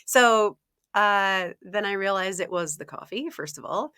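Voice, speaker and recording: A woman speaks as a health coach in a relaxed interview. Her voice sits at a middle pitch and sounds close and dry. She chats freely, laughs often, clears her throat now and then, and leans on "um" and "uh.". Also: measured pace, no echo. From Ontario, Canada. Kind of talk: casual interview talk.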